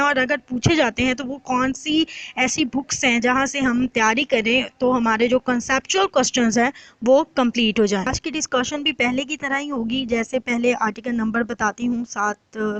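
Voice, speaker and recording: A woman with a Pakistani accent giving an explanatory exam lecture as an instructor, mixing in English terms and reading questions out slowly and deliberately. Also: a little high, fairly bright tone, dry recording, poor audio.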